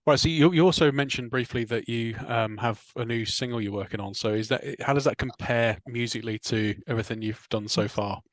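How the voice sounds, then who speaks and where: A man's voice: mid-range voice, a little fast, few pauses, no echo. A man with an English accent interviewing a band, asking curious questions with restarts. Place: inside a parked car.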